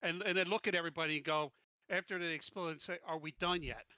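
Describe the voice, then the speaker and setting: Bright, blunt, wisecracking male tabletop player. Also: fairly high pitch, fairly even intonation, quick pace, dry recording, highs cut off. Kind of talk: casual in-character game chat.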